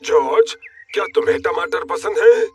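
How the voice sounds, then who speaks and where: A man's voice: sits high, expressive, moderate pace. A man voicing a hearty, kindly old grandfather pig in a children's cartoon dub. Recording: distant-sounding, loud music behind.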